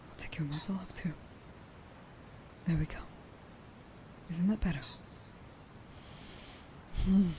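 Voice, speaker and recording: A woman playing a tender, emotional young huntress in an ASMR roleplay, speaking softly and breathily and often dropping toward a whisper. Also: deep voice, a little hiss or hum. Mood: tender, relieved. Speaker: voice actor.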